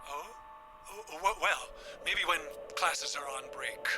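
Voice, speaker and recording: An actor playing a kindly, captive old grandfather in an audio drama, halting and stammering but sly. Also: fairly high pitch, lively intonation, over music. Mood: tender, halting.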